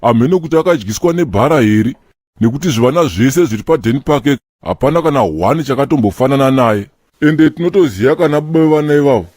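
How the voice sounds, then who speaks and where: A man's voice: medium pitch, animated, fast talker, darkish tone, no echo, studio quality, lightly acted. A man with a Zimbabwean accent voicing a sly, laid-back Rastaman in a comedy cartoon, slangy and mocking.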